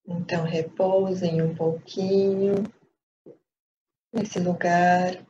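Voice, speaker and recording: A Brazilian woman leading a guided meditation as a teacher, speaking calmly in short phrases with long pauses. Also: fairly low pitch. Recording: dull lo-fi sound with light background noise.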